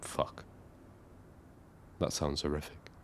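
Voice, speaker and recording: An actor playing a lonely, nervous but kindly taxi driver in an audio drama, understated and hesitant with dry humour. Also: male voice, slow, fairly bright tone, whispered. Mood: concerned, tender. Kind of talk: audio drama narration and dialogue.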